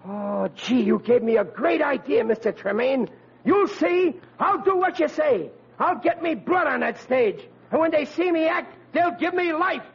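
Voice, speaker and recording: A very high, swooping voice for a gullible American working-class sad sack. He is slangy, whiny and earnest, in 1940s radio comedy manner. The old recording is muffled and noisy. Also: animated, unhurried, gravelly, exaggerated voice. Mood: excited, hopeful.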